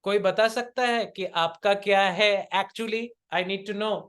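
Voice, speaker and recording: A man's voice: high voice, fairly even intonation, slow pace, fairly bright tone, clear, resonant tone. A man with an Indian accent giving an interactive spiritual lecture in a didactic, questioning manner, as a coach teaching a group. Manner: didactic, rhetorical questions, switches between Hindi and English.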